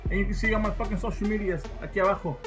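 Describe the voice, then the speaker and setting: A man giving his opinions as a video host, talking casually and profanely while thinking out loud. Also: high-pitched, very fast, over music. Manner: informal, profane, thinking aloud.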